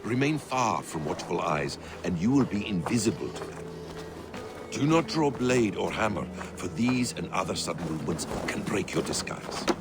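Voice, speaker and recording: A man playing a calm, secretive master assassin in a video game, speaking in a measured, cryptic, instructive way. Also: low voice, very noisy. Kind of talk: video game dramatic acting.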